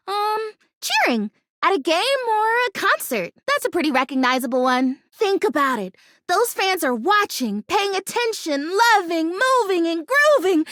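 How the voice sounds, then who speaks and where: Game role: an earnest, optimistic young female houseplant, extremely high voice, lively intonation, gushing and chatty. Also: no room sound, studio quality, heavily acted. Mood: explaining eagerly. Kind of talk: animated video game character acting.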